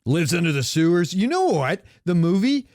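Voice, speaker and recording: A man's voice: high-pitched, sing-song, moderate pace, a little mellow, dry recording, studio quality. A man co-hosting a podcast in casual, enthusiastic chat, with slangy, sweary talk. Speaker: podcast co-host. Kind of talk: casual chat about comics, movies and games.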